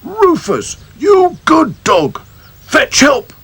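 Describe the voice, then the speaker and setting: A man voicing a hearty country farmer calling out loudly. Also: high voice, sing-song, moderate pace, husky, dry recording, pitched above the performer's own voice, heavily acted.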